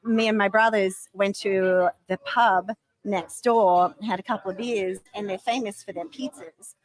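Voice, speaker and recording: A woman's voice: mid-range voice, fairly even intonation, measured pace, low-quality recording. A woman with a faded Australian accent in casual chat, laughing often and answering with lots of agreeing sounds.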